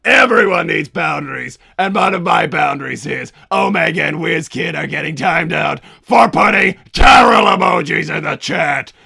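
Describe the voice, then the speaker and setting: A man voicing a pompous, hot-tempered cartoon villain doctor and strict, doting papa, raspy and blustering, chatting in character on a livestream. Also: fairly high pitch, animated, normal speed, hardly any pauses, sharp tone, no echo, shouting, studio quality, full character voice. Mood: indignant, firm. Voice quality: gravelly, raspy. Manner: blustering, bombastic. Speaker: livestream host.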